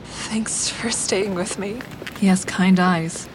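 An actress narrating in first person as a grieving, quietly deceptive woman in an audio drama, measured and introspective, with a steady vehicle rumble behind. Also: lightly acted, low-quality recording. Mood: guarded.

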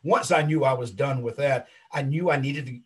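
A man in his late fifties with a husky voice, chatting casually and talkatively as an interview guest, self-assured and full of anecdotes. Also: mid-range voice, normal speed. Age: late 50s. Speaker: interview guest, wrestling commentator and video creator.

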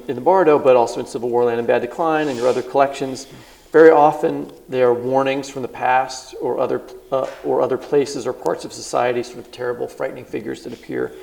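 A man's voice: mid-range voice, vocal fry, a little mellow, rough recording. A man hosting a live podcast, asking thoughtful, rambling questions with many restarts. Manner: thoughtful, rambling, self-interrupting. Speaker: podcast host. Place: live event on stage.

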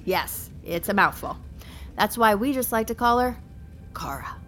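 A woman's voice: medium pitch, expressive, normal speed, a bit bright, faint music behind, lightly acted. An actress playing a peppy, sassy corporate tour guide in an audio drama, chirpy and coaxing as she addresses a school group. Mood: perky, coaxing. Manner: chirpy, over-enthusiastic, coaxing.

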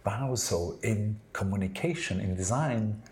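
A man with an Austrian accent and German as his native language answers interview questions as a designer, in a thoughtful, halting, anecdotal way. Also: fairly low pitch, slight background noise.

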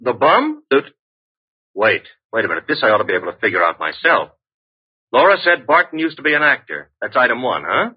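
A breezy, wry detective's assistant from vintage radio drama. His husky voice swings in lively fashion through slangy lines, on a dull old recording. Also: mid-range voice, a little fast. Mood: puzzled. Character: wisecracking, street-smart male detective's assistant. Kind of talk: 1940s-50s radio mystery acting.

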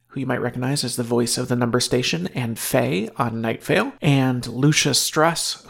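A man's voice: mid-range voice, vocal fry. A man reading a scripted podcast introduction and promo as the show's host.

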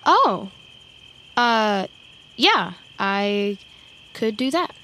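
A woman's voice: mid-range voice, very animated, very slow, sharp tone, full character voice, low-quality recording. A woman playing an awkward, chatty sidekick who rambles with wry, self-deprecating humour in an audio drama. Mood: awkward, protective. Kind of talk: naturalistic audio drama acting.

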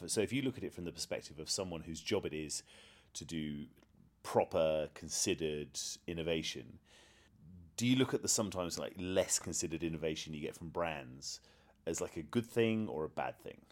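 Male podcast host, exceptionally deep voice, conversational interview questioning. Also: lively intonation, normal speed.

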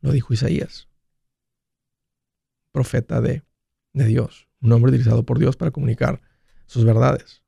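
A man's voice: medium pitch, fairly even intonation, normal speed, vocal fry, pauses often, mellow, husky, dry recording, studio quality. A man hosting a call-in advice show, talking in a folksy, conversational way. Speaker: host of a personal finance call-in show.

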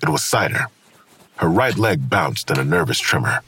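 A deep-voiced male storyteller narrates a crime drama. His voice is extremely husky, and the scripted lines are delivered with lively intonation. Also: normal speed, exaggerated voice. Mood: measured, observant.

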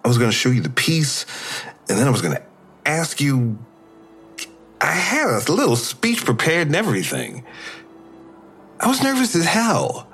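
A man playing an injured, tender boyfriend in an audio drama, earnest and a little halting. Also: fairly high pitch, a bit bright, husky, full character voice.

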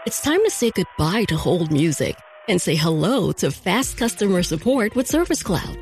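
Animated female ad voice over faint music. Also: fairly low pitch, moderate pace. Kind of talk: scripted ad read.

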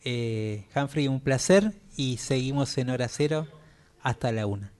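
A man hosting a radio show, briskly wrapping up an interview and plugging his guest. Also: mid-range voice, darkish tone. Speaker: radio host.

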